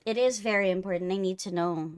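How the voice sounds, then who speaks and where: A woman's voice: medium pitch, fast, clear, resonant tone, dry recording. A woman hosting a livestream in casual chat, teasing her co-host playfully, close on the mic.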